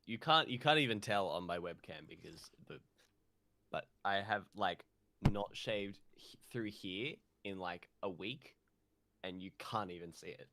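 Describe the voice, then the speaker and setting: A man's voice: deep voice, expressive, unhurried, sharp tone, slight background noise. A man co-hosting a podcast in casual, conversational chat. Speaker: podcast co-host.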